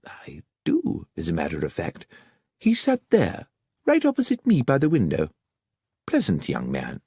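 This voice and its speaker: A man's voice: deep voice, huge pitch swings, measured pace, creaky, warm tone, breathy edge, dry recording, exaggerated voice. A man voicing an elderly, genial country doctor who talks in a chatty, obliging way, as in an audiobook. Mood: helpful, recollecting. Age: older.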